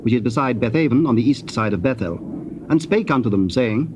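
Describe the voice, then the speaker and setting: A man's voice: medium pitch, expressive, brisk. A man narrating dramatized scripture in a solemn, formal storytelling manner. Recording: dull, noisy old cassette tape.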